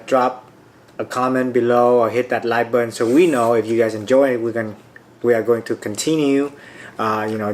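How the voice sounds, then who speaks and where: A young man from Vietnam, chatting casually while eating spicy food, relaxed and easygoing with frequent fillers. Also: mid-range voice. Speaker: co-host.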